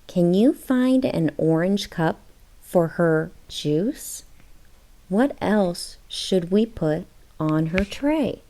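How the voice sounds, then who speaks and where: A woman's voice: on the low side, expressive, drawn-out words, warm tone, low-quality recording. A woman reading a children's storybook aloud, putting questions to young listeners. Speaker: children's book read-aloud narrator. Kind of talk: scripted storybook read-aloud.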